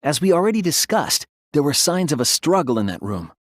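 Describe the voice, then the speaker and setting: A man voicing an earnest, timid yet resolute teenage boy detective in an English anime game dub, polite and soft-spoken. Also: fairly high pitch, very animated, a little fast, no room sound, studio quality. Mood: calm, thoughtful. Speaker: video game voice actor.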